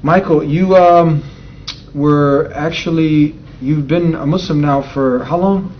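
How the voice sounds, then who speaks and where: Halting, chatty male interview host. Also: a little high, steady tone. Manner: conversational, halting, frequent restarts. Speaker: show host. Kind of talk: on-location interview. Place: on location. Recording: slightly dull sound with faint noise.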